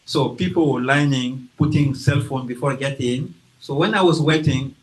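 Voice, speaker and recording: A man from Guinea with a French accent talks to a library audience about his book. He speaks slowly and carefully, with frequent hesitations. He acts out quotes as he tells the story, in a voice of ordinary pitch. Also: poor audio. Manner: slow, careful delivery with hesitations and acted-out quotes. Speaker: author presenting his book. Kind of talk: informal talk to an audience. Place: library event room.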